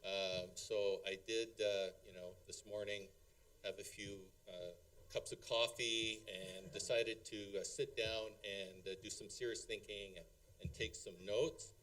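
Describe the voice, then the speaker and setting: A man's voice: lowish voice, fairly even intonation, unhurried, fairly bright tone, distant mic, low-quality recording. A middle-aged man giving a rambling, hesitant wedding speech as father of the bride, full of ums and chuckles. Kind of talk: halting, unscripted wedding toast. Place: wedding reception hall.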